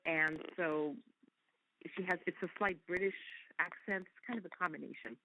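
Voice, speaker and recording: A woman's voice: fairly low pitch, normal speed, dull audio. A woman from Canada whose first language is Hungarian, talking effusively as a guest actress in a casual interview.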